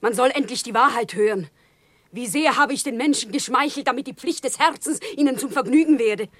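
A woman's voice: a little high, fairly quick, a bit bright, dry recording. An actress playing an impassioned, naive commoner woman who pleads solemnly and fervently in a German radio play. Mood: fervent, accusing. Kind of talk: German radio play, theatrical stage diction.

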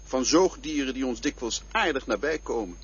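A mysterious guide who shares the hero's name, asking calm, ironic questions. His raspy voice rises and falls in a lively way. The radio play recording sounds dull and lo-fi. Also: mid-range voice, normal speed, full character voice. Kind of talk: radio drama acting.

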